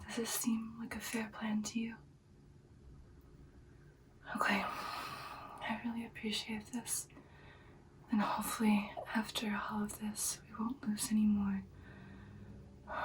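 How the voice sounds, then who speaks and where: Woman doing an ancient vampire gf asmr, all breathy whisper, confident n unhurried, bit far from mic, faint hiss. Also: mid-range voice, monotone, natural voice. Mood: soft, businesslike. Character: ancient confident female vampire girlfriend, expert sniper. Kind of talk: ASMR roleplay.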